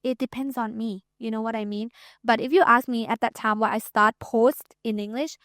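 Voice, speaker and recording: A woman's voice: a little high, fairly even intonation, normal speed, fairly bright tone, no room sound, studio quality. A young Thai woman with a Thai accent, a guest chatting casually and loosely, full of "like" and "you know". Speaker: guest, TikTok creator. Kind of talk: casual interview chat.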